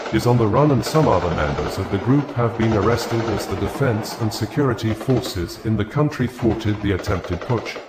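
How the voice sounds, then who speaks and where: A man's voice: on the low side, very noisy. A man reading scripted news in a formal, wordy read-aloud delivery. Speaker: news reader. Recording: echoey, reverberant room.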